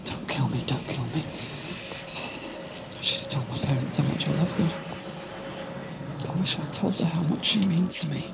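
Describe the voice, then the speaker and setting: A man's voice: on the high side, moderate pace, vocal fry, pauses often. An actor playing an anxious, lovesick young man in an audio drama, with a jittery, earnest and hesitant delivery. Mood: panicked.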